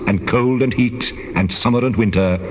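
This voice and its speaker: A man voicing God in a dramatized scripture reading, solemn and commanding, making measured, declamatory decrees. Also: mid-range voice, lightly acted. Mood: solemn resolve. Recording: cavernous echo with long reverb.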